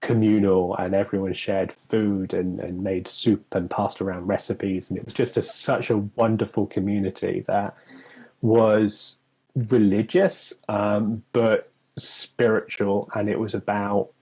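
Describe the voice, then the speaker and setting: Man, young, from England, husky voice, lowish pitch, chatty, rambling, laughs often. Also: little pitch movement, measured pace, dark tone, rough recording. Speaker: co-host. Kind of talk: casual chat on an online live stream.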